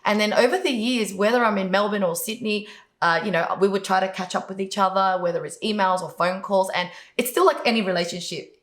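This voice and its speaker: A woman's voice: mid-range voice, brisk, fairly bright tone, reverberant. A middle-aged woman from Vietnam, the show's host, answering interview questions in a chatty way and laughing now and then. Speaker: host, business coach.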